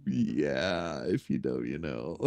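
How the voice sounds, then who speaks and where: Sarcastic, gravelly man hosting a stream. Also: rumbling low, sing-song, warm tone, dry recording, studio quality. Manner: sarcastic, joking, halting with repeated words. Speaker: live stream host. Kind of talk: casual chat, reading messages aloud with sarcastic commentary.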